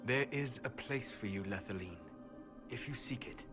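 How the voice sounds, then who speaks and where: Casting: actor for stern male elf scholar, mid-range voice, calm, formal and blunt, fantasy game. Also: mid-range voice, normal speed, over music, lightly acted. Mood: gentle, solemn. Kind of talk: dramatic fantasy game acting.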